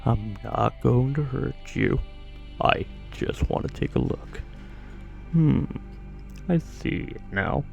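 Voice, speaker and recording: A man playing a slow, silky evil sorcerer. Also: medium pitch, creaky, dull audio, faint music behind. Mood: soothing, curious. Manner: slow, silky, condescending, softly threatening. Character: menacing evil sorcerer warlord, falsely gentle, gloating captor. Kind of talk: fantasy ASMR roleplay acting.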